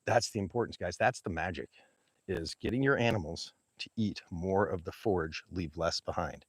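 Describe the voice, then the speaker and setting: A man with a booming voice, a rancher, casually explaining grazing math on a livestream from his pasture. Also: normal speed, husky, no echo. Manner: casual, folksy, explains step by step. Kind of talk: livestream teaching talk, chatting with viewers. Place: outdoors in a pasture among cattle. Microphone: small mic clipped to his hat.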